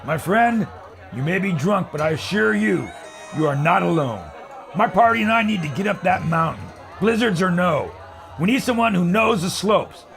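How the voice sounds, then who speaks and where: A man's voice: high-pitched, animated, moderate pace, vocal fry, a bit bright, faint music behind, some chatter behind, exaggerated voice. An actor playing a brash, folksy, confident adventurer leading a party in a pulp radio adventure, hearty and persuasive as a salesman. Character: brash, jolly, confident male adventurer and leader.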